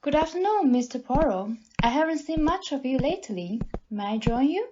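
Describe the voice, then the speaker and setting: Woman playing a high-voiced genteel society lady. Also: expressive, moderate pace, background noise. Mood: polite, friendly. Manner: polite, genteel. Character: sociable, polite society lady, friendly acquaintance. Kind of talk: audio play acting. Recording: muffled audio, loud music behind.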